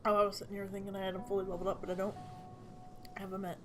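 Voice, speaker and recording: A woman's voice: medium pitch, level tone, racing. A woman chatting casually as she plays a game, musing aloud in a playful way. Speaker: game streamer. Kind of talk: casual gameplay chat. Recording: music playing behind the voice. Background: game music.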